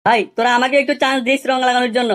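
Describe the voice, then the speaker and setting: Cheeky village lad for comedy cartoon female actor: crude, pushy, extremely quick, fairly high voice. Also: little pitch movement, a bit bright, natural voice. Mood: brash. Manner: slangy, crude, insistent. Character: cheeky, brash young male village troublemakers.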